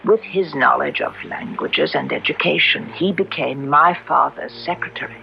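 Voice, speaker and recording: Radio drama: a composed young female spirit, enigmatic and deliberate, gravelly edge, noisy vintage audio. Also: low voice. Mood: composed, recounting. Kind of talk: old radio drama acting. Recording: noisy, dull lo-fi radio recording.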